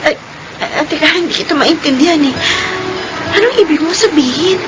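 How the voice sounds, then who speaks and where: A woman's voice: high-pitched, moderate pace, over music, lightly acted, rough recording. A woman playing a humble, innocent young mother in a Filipino radio drama, speaking meekly and hesitantly. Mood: puzzled. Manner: meek, hesitant, polite.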